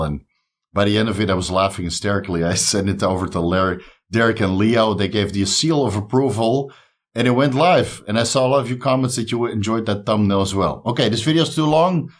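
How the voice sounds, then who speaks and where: A man with a Dutch accent talks to his viewers in a fairly low voice, casual and encouraging. He has a slight speech impediment and stumbles now and then over English words, which is not his first language. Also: steady tone, fairly quick. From the Netherlands. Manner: upbeat, encouraging. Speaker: YouTube host. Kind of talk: casual talk to camera.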